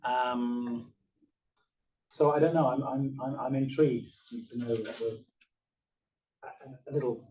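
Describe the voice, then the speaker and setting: A man's voice: medium pitch, fairly even intonation, takes it slowly, long pauses, a little mellow, distant mic, a little hiss or hum, low-quality recording. Hesitant man at a panel discussion. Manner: hesitant, many restarts and ums. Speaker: panel speaker from the artist's studio.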